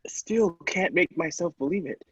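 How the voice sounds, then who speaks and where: A man playing an earnest, easily amazed doctor in an old-style radio play, speaking with theatrical, halting wonder. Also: high-pitched, fairly bright tone, breathy edge, no echo. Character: astonished, earnest male doctor visiting inventor. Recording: dull lo-fi, dry sound.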